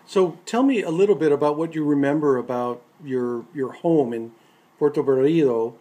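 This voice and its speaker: A man hosting a radio program, introducing a guest and asking measured questions. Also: on the high side, mellow.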